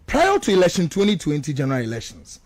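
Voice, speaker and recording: High-pitched, animated Ghanaian man, emphatic. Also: fast talker, no echo. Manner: emphatic, accusatory, repeats phrases for stress. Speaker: political commentator on a radio show. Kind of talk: impassioned talk radio commentary mixing two languages.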